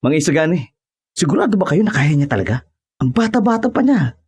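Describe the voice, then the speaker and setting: A man's voice: on the high side, very animated, a little fast, darkish tone, husky, exaggerated voice. A man playing a glib, eager young monster hunter's sidekick in an audio horror drama. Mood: doubtful.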